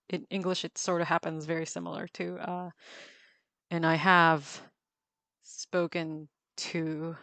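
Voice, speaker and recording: A woman's voice: low-pitched, fairly bright tone, dry recording. A woman chatting casually while she draws on a livestream. She laughs often and fills her talk with ums and restarts. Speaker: livestreamer drawing on a tablet. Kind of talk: casual chat while drawing.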